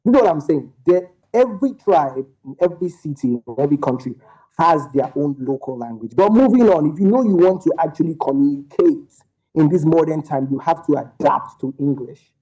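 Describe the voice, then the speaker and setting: A man's voice: on the high side, lively intonation, brisk, dark tone, poor audio. A young man, arguing his point emphatically as a panel guest over a remote call, full of rhetorical questions. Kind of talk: opinionated panel discussion.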